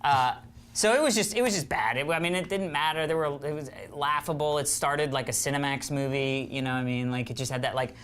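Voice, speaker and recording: A man's voice: fairly high pitch, a little fast, a bit bright, smooth. Blunt, mocking male film reviewer. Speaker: film review show co-host. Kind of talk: casual film review chat.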